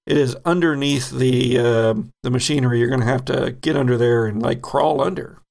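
Gravelly-voiced man running a game. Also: medium pitch, darkish tone. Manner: descriptive, unhurried with frequent ums. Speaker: game master. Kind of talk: narrating a tabletop horror game.

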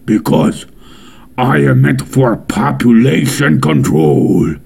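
Man doing a scornful coronavirus villain, gravelly and dark, in a roomy room. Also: mid-range voice, big pitch swings, slow pace, heavily acted. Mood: menacing. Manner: menacing, blunt. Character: menacing male coronavirus, cold and scornful. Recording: roomy, with faint guitar music.

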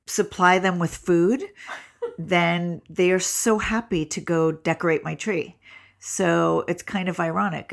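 Stuffy-nosed woman with a low gravelly voice. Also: a bit bright. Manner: chatty, laughs easily. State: stuffy nose. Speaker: co-host. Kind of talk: casual chat.

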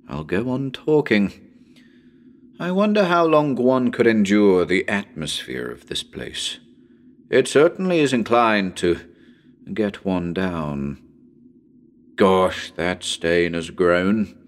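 A man playing a radio broadcaster unravelling into madness in a haunted house, halting and muttering, then breaking into exclamations. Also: lowish voice, exaggerated voice. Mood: uneasy, chatty. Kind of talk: dramatic reading of a live radio broadcast.

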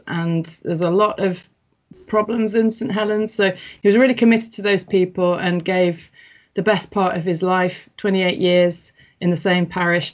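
Chatty woman, lower voice. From North West England. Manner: chatty, reflective, with frequent ums and restarts. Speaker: interviewee. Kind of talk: personal interview. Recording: muffled, dull audio.

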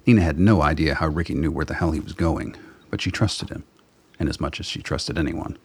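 A man's voice: rumbling low, a little fast, husky. A man narrating a scripted story for a radio play.

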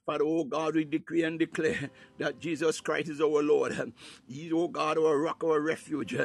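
Male prayer leader, slightly high voice. Fervent and declamatory, improvising in short bursts with repeated devotional exclamations. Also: steady tone, normal speed, gravelly.

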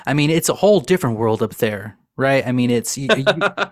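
A man's voice: mid-range voice, lively intonation, brisk, no room sound, studio quality. Laid-back male podcast host. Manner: laid-back, laughing, says "dude" often. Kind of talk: casual chat.

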